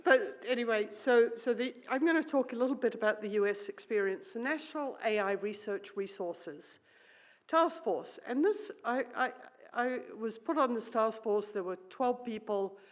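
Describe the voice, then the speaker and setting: A woman's voice: on the high side, normal speed. A middle-aged woman who grew up in New Zealand and whose first language is Swedish, giving a conference keynote in a wry, conversational manner and thinking aloud with many fillers. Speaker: keynote speaker.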